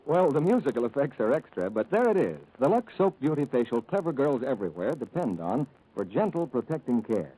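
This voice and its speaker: A man announcing and delivering scripted sponsor copy in polished 1940s radio style, with a husky voice. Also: medium pitch, big pitch swings, a little fast, few pauses, warm tone, soft background music, low-quality recording. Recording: old 1940s radio recording.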